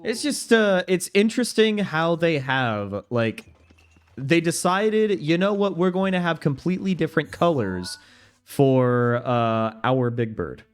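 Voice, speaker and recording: Young man, chatty streamer, slightly high voice, unhurried delivery, laughs easily. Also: expressive, smooth. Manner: chatty, reads chat aloud, laughs easily. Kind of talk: casual gameplay commentary.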